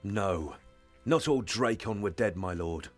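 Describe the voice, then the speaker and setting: An actor playing a loyal, reverent sword-fighter in a fantasy game, with a formal, solemn storytelling cadence. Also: male voice, on the low side, expressive, takes it slowly, faint music behind. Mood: respectful. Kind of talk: fantasy game cutscene acting.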